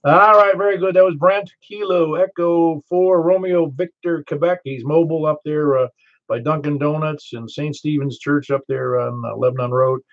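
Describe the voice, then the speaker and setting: An older man with a Southern American accent running a ham radio net, chatty and quick to laugh as he tells stories. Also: fairly high pitch, steady tone. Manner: chatty, storytelling, laughs easily. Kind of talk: casual radio chat. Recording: muffled ham radio audio.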